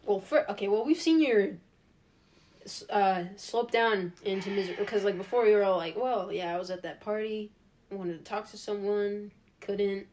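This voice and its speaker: A young woman co-hosting a relaxed podcast, with a mid-range voice and easy chatter. She giggles a lot and slips into quick put-on voices for the people in her stories. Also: medium pitch, a little hiss or hum. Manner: giggly, animated storyteller who acts out quotes. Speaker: podcast co-host. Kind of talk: casual chat.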